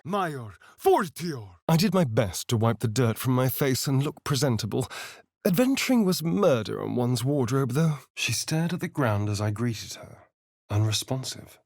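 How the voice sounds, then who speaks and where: An actor playing a suave, sardonic vampire rogue in a video game, vain and theatrical, with an arch, drawling delivery. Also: male voice, mid-range voice, lively intonation, normal speed, no room sound, studio quality. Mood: intense incantation, then sly, droll. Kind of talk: dramatic video game character lines and narration.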